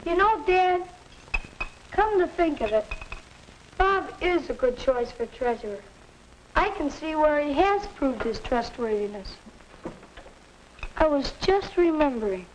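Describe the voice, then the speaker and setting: A man's voice: very high-pitched, big pitch swings, a little slow, long pauses, heavily acted. A young male actor playing an earnest schoolboy son in a 1950s educational film, plain-spoken, on a crackly old soundtrack. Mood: thoughtful.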